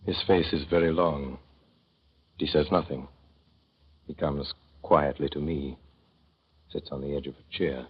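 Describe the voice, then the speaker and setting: A man narrating as a gentle, thoughtful father in a 1930s radio drama, with measured, tender storytelling. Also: a bass voice, fairly even intonation, breathy edge, full character voice. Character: gentle, thoughtful father telling of his son. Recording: dull old 1930s radio recording.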